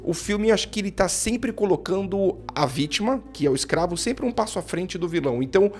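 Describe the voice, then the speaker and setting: A man's voice: high-pitched, lively intonation, fairly quick, soft background music. A man with a Brazilian Portuguese accent giving a casual spoken film review, opinionated and thinking aloud, often repeating and restarting phrases. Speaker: film critic.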